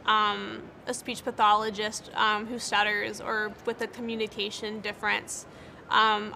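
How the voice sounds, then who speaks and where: Young woman, stutters with repeats and blocks, earnest and encouraging, bright and high-pitched. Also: slight background noise. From United States. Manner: stutters, with repeated words and broken-off sounds. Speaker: interviewee, speech-language pathologist. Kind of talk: interview answers.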